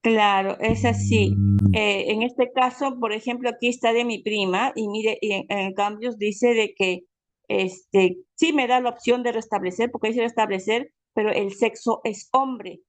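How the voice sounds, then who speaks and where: A woman's voice: on the high side, fairly even intonation, measured pace, no echo. A woman teaching a family history class over a video call, speaking in a friendly way with many fillers. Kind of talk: online class, casual explanation.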